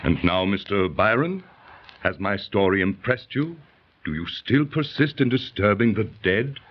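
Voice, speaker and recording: An actor playing a cautious, grave judge and loyal friend, in earnest, theatrical 1930s radio style. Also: male voice, on the low side, lively intonation, a little slow. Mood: grave, sorrowful. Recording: muffled 1930s radio recording, music behind.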